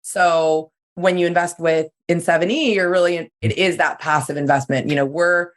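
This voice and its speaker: A woman co-hosting a podcast in a casual chat, her voice a little worn and hoarse. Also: lowish voice, fairly even intonation, normal speed. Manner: chatty, loose with fillers. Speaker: podcast co-host.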